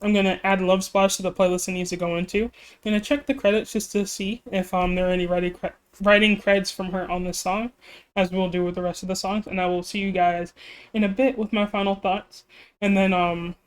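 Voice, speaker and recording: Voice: medium pitch, flat delivery, a little fast, smooth. A music reaction YouTuber chatting casually and rambling, laughing often and apologizing, while slightly losing their voice. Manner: self-deprecating, apologetic. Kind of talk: casual reaction video chat.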